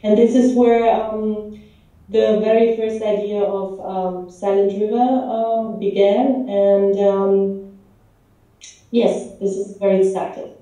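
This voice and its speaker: A woman's voice: medium pitch, flat delivery, slow pace, warm tone. Woman in an echoey room, far off. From Romania. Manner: hesitant, many ums and restarts. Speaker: film director. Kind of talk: interview answers. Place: pub. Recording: echoey pub room, voice from far off, dull sound.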